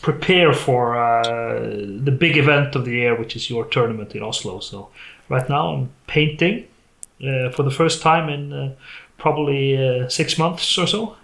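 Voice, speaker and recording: A man's voice: mid-range voice, a little slow, creaky, a bit bright, echoey room. A Norwegian man from the west coast, a podcast guest chatting in English with a Norwegian accent, hesitant with many fillers. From west coast of Norway. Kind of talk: casual chat over a call.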